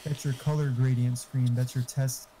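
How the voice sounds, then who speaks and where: A man's voice: medium pitch, level tone, moderate pace. A man chatting casually over an online game, talkative and profane, explaining tech. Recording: faint background noise.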